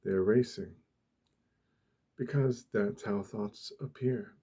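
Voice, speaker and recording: A soft-spoken man with a low, mellow voice guides a meditation in ASMR style. His delivery is very slow, gentle and deliberate, with long pauses. The voice is hushed and breathy.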